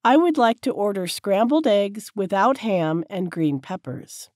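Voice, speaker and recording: A woman teacher reading short sentences slowly and clearly, each one said several times for learners to repeat. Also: mid-range voice, expressive, a little mellow, no room sound, clean studio sound. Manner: careful, clear enunciation. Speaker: English teacher. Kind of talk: slow repeated drill sentences.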